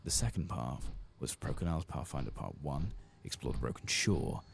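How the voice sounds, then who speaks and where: Very deep, creaky, soft-spoken man. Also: sing-song, normal speed. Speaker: streamer playing a fantasy game. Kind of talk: soft-spoken, whispering ASMR game playthrough. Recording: clear background noise with game ambience.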